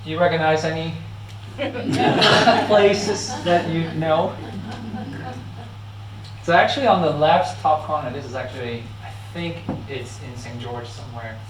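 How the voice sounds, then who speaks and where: A man from Hong Kong with a Hong Kong accent, giving a friendly, halting talk with slides, full of fillers and restarts, with frequent laughs. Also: fairly high pitch, crowd noise. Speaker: guest speaker. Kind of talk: informal lecture with slides. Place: church hall. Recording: echoey hall, mic at a distance.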